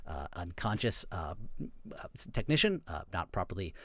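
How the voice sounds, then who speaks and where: A man's voice: deep voice, very animated, normal speed, fairly bright tone, dry recording, voice pushed down. A man playing a fussy, fretful corporal who stammers hesitantly and officiously about proper procedure in an improvised game. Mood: politely delegating.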